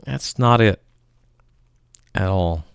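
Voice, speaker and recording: A man giving a theatrical, melodramatic poetry reading, swinging between loud outbursts and hushed murmurs. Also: sits low, slow, creaky, clear, resonant tone, dry recording, studio quality. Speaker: poetry reader.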